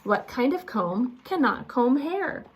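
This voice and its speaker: A woman voicing an eager, silly, literal-minded male moose from a children's storybook, excitable and quick to blurt things out. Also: high voice, pitched above the performer's own voice, heavily acted. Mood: cheerfully insistent.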